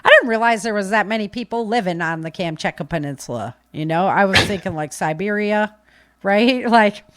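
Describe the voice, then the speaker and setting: A woman hosting a podcast, speaking informally into a close microphone with animated emphasis. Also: mid-range voice, a little fast, dry recording. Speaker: podcast host. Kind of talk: casual podcast talk.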